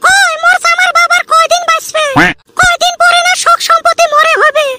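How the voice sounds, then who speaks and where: A performer voicing a sly, sharp-tongued college-age village girl in a comic cartoon, with a rural Goalpara Bengali dialect. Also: extremely high pitch, little pitch movement, fairly quick, very noisy. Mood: taunting. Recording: loud music under the voice.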